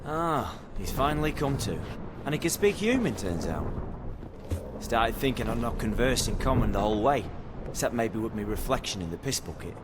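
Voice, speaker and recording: An actor playing a chatty, wry petty thief in a video game, with a colloquial British accent. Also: male voice, mid-range voice, sing-song, moderate pace, background noise, close to an everyday voice.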